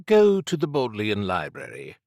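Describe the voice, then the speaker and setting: A man voicing an urbane, condescending, androgynous occult agent for an audiobook, in a languid purr with drawn-out phrasing. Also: on the low side, wildly swooping pitch, gravelly, darkish tone, no echo, clean studio sound, full character voice. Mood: smug, advising. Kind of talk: audiobook character acting.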